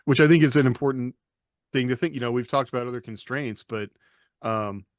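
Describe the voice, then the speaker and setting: A man's voice: mid-range voice, fairly quick, no room sound, clean studio sound. A man moderating a casual panel discussion, musing in loosely strung phrases and putting questions to the others.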